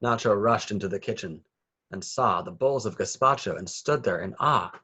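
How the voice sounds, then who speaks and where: A man's voice: lowish voice, normal speed, sharp tone, husky. A man reading a children's picture book aloud with rhythmic, sing-song phrasing. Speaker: children's story reader.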